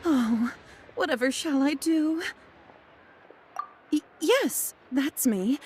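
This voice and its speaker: A woman's voice: high-pitched, expressive, takes it slowly, a little mellow, slight background noise. A woman voicing an anxious, timid young merchant's daughter in a video game, hesitant and fretful, with music behind. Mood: distressed. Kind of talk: English video game voice acting.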